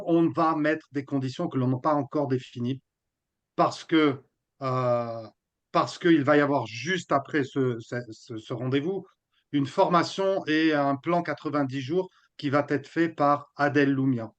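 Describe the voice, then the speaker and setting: A man from Nice presenting to a group on a video call, relaxed and chatty with many fillers. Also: on the high side, a little slow. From Nice, France. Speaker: team strategist presenting. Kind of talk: casual presentation. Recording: dull lo-fi video call audio.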